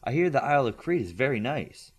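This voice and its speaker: An awkward, curious young student in an audiobook story, voiced by a man. The delivery is tentative and conversational, with pitch that moves up and down a lot. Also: sing-song, quick pace, breathy edge. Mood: apologetic, amused.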